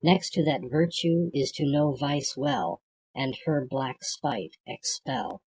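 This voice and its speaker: An audiobook reader reciting poetry from a script in a measured, declamatory way, with a husky, gravelly voice. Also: mid-range voice, very animated, a little mellow, poor audio.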